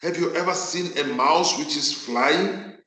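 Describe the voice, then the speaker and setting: A South African man whose mother tongue is Northern Sotho, teaching a virtual class in an emphatic, deliberate way and repeating phrases for effect. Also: fairly high pitch, bright, forceful tone. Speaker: accounting teacher. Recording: echoey room.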